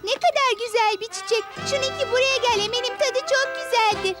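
An actor playing a lively, curious little mountain girl in a dubbed cartoon, eager and expressive, with a very high voice. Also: fairly quick. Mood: cheerful wonder. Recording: loud music under the voice.

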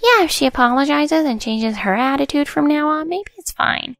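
A woman's voice: high-pitched, expressive, quick pace, creaky, no room sound, studio quality, voice pushed up. A woman voicing a spiteful, spoiled young store employee in a whiny, entitled manner for a story. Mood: smug.

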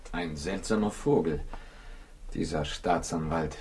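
An actor playing a calm, laconic male investigator in a 1960s radio crime drama, asking in a terse, pensive way. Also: extremely low pitch, measured pace. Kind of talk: 1960s German-language radio drama acting. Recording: noisy old radio recording with clicks.

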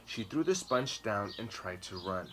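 A man's voice: fairly low pitch, expressive, pushed and bright. Guy in his 30s doing kids storytime outside, dramatic emphasis, birds and noise behind him. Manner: dramatic, with slow emphatic words and repeats. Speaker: children's storytime reader and host. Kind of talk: expressive read-aloud storytelling. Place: outdoor garden patio. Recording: clear background noise, outdoor birdsong. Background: birds, outdoor ambience.

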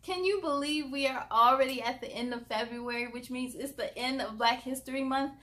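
High-voiced young woman reading storybooks aloud. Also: brisk. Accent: American. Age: young adult. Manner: animated, playful delivery. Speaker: host of a children's read-aloud show. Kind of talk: expressive storybook read-aloud with friendly asides to young listeners.

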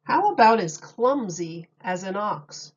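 A woman reading a picture book aloud as a teacher, with simple, deliberate phrasing and questions for young listeners. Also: mid-range voice, very animated, husky, muffled recording. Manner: simple, deliberate phrasing addressed to small children.

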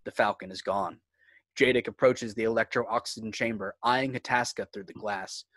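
A man's voice: lowish voice, steady tone, normal speed, a bit bright, dry recording. A man reading scripted screenplay narration and stage directions for a table read.

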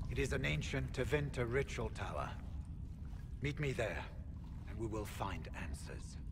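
An actor playing a grave, weary, principled senior warrior in a video game, speaking in a solemn, measured, formal way. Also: male voice, mid-range voice, noisy background. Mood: somber, urgent. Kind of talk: dramatic game cutscene acting.

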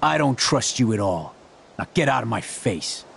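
Gruff, volatile American man, creaky voice. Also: medium pitch, big pitch swings, normal speed, a little hiss or hum. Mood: furious. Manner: gruff, confrontational, foul-mouthed. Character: volatile, defensive man with a rescued dog. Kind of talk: dramatic video game voice acting.

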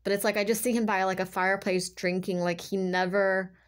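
A woman speaking as a tarot reader in an informal, intuitive monologue, halting and emphatic, circling back to repeat herself and often saying "like". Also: mid-range voice, flat delivery. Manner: halting, repetitive, emphatic, filler-heavy with "like".

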